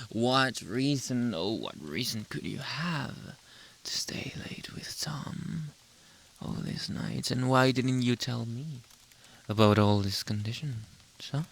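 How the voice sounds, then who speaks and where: A man acting a slow, whispery jealous boyfriend. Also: mid-range voice, a bit bright, exaggerated voice. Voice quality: breathy, often dropping into whisper. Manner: theatrical, slow, drawn-out interrogating with dramatic pauses. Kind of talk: ASMR roleplay, close whispering and soft speech.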